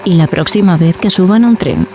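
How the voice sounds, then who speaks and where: A woman with an accent from Spain, hosting with measured, enigmatic, formal scripted narration. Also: lowish voice, warm tone, slight background noise. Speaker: podcast host.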